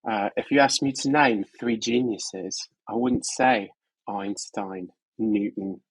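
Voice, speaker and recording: A man's voice: fairly low pitch, takes it slowly, husky, no room sound, rough recording. A man doing a jokey put-on English accent as a cheeky bloke, full of slang. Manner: slips in British slang, laughs between lines. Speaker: podcast guest. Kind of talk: playful comic impression.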